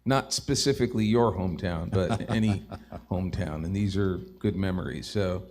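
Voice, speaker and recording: A man who is a singer-songwriter, talking casually and haltingly between songs. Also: low voice. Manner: hesitant, halting delivery. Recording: loud acoustic guitar behind. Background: guitar playing.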